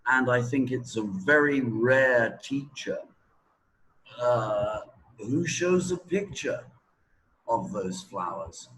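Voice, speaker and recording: A man of sixty, a native English speaker, explaining his views in an interview in a halting, deliberate way, as if thinking aloud. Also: mid-range voice, animated, a bit bright, slight background noise, poor audio. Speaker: teacher trainer in reading, interview guest. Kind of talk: interview talk, explaining opinions.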